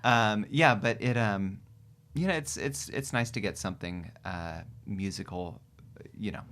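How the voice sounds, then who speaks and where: A man, a musician guest in a casual interview, who talks haltingly, with many fillers and repeated words. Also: fairly low pitch, fairly bright tone.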